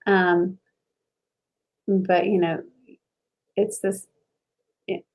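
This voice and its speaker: A woman's voice: on the low side, little pitch movement, extremely slow, a little mellow, rough recording. A woman from Ohio, a school board candidate, answering interview questions in an earnest, reflective way with many fillers and restarts, over a video call. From Ohio, USA.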